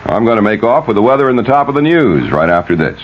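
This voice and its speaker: A man's voice: on the low side, very animated, fast. An American man anchoring a 1970s radio news bulletin, reading scripted stories. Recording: dull, lightly noisy vintage radio broadcast.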